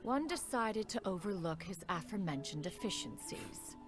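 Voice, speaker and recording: A woman's voice: on the low side, animated, normal speed, music in the background, lightly acted. An actress playing a proud, formal, ancient crane spirit woman in a video game, speaking in a stately, archaic manner. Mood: measured, proud. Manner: stately, archaic, refers to self as "one". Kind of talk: scripted game voice acting, storytelling.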